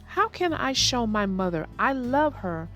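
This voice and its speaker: A woman's voice: fairly high pitch, sing-song, a little slow, a bit bright, soft background music. A woman reading aloud as a worried young boy turtle, asking earnest questions in a storybook.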